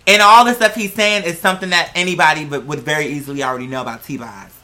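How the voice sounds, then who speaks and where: A woman's voice: sits low, fast, sharp tone. A woman giving casual, blunt, skeptical reaction commentary as a video host, emphatic and freely swearing.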